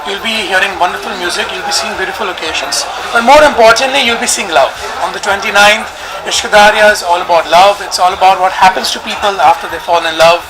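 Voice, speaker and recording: Measured young actor amid crowd chatter. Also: male voice, high voice, poor audio. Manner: measured, hesitant with fillers, joking. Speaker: actor promoting a film. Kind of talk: press interview.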